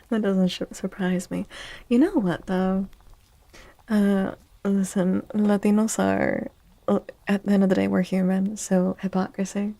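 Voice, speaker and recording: A woman livestreamer chatting and reading tarot in a soft-spoken ASMR style, playful, teasing and mock-dramatic. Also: mid-range voice, vocal fry.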